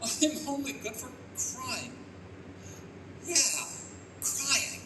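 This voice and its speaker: A man acting an anguished, wisecracking father reborn as a baby, excitable and theatrical in a live old-time radio play. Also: extremely high pitch, very slow, raised voice, heavily acted. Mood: worried. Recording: echoey live stage, distant mic, clear noise.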